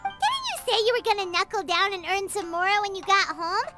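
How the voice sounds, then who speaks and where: A woman voicing a small, childlike, excitable companion creature in a video game, cute and squeaky. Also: brisk. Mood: surprised, curious. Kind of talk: cartoonish video game voice acting. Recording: music loud behind the voice.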